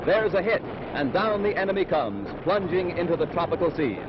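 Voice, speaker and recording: A man's voice: high voice, animated, brisk, lo-fi sound, noisy background. An American man narrating a scripted 1940s newsreel in a dramatic, emphatic announcer's delivery, on an old film soundtrack. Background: loud music, battle sound effects.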